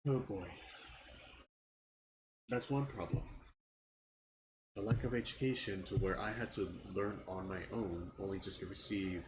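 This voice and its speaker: A young man with a stuffy nose, talking in a casual vlog about his frustrations, halting and restarting his words. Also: low voice, fairly even intonation, background noise. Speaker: channel owner giving an update. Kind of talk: casual personal vlog rant.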